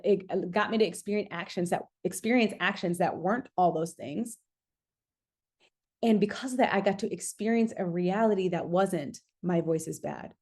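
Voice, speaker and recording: A woman's voice: on the low side, darkish tone. A woman hosting a casual motivational podcast, speaking emphatically, repeating key phrases and checking in with the listener as she coaches. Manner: acts out own thoughts as quoted lines. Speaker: podcast host and singing artist coaching listeners.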